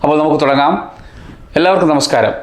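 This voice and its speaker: Higher-voiced man explaining, roomy sound. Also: fairly even intonation. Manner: deliberate, short phrases with rhetorical questions. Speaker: host of a science explainer channel. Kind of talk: scripted explainer narration. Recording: roomy echo on the voice.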